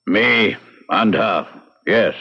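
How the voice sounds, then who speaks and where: An actor playing a huge, blunt, stubborn foreign settler in a frontier radio drama, speaking terse broken English. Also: male voice, on the low side, extremely slow, sharp tone, slightly rough, full character voice. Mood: curt, firm. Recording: dull lo-fi radio recording.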